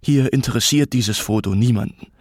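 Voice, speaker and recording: A man voicing a stubborn, defensive father in an audiobook, speaking in a terse, gruff way. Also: medium pitch, moderate pace, no echo, studio quality, natural voice. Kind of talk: audiobook character acting.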